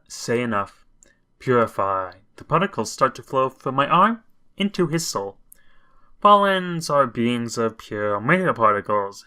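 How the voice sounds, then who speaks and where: A man reading a visual novel aloud with casual commentary, halting and breaking off for wry asides. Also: mid-range voice, bright, forceful tone. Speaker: video game commentator.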